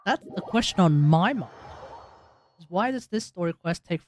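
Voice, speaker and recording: A man's voice: sits high, expressive, moderate pace, a little hiss or hum. A man with an Australian accent giving casual, opinionated, rambling live commentary as he plays a game. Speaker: game streamer.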